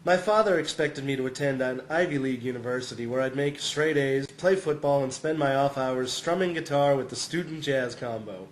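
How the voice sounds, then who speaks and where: A man's voice: mid-range voice, brisk, few pauses, roomy sound, slight background noise. A man reading a humorous first-person essay as scripted narration, sounding amused and often close to chuckling.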